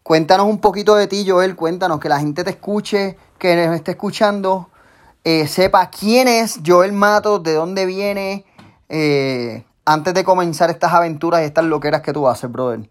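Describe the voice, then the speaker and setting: Man, podcast host, excitable, casual chat. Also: high-pitched, moderate pace. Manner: excitable, hypes his guest.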